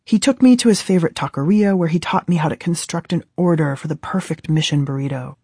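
American woman reading her own audiobook, kinda mellow voice, very dry studio sound. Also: low-pitched, fast, slightly rough, no room sound. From Southern California, USA. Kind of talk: scripted audiobook narration.

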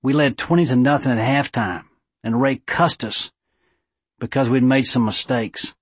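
A man voicing an aging, earnest small-town judge with a squeaky voice and a thick Southern drawl, giving an audiobook eulogy. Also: unhurried, breathy edge, no echo. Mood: earnest, reminiscing. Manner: drawling, oratorical.

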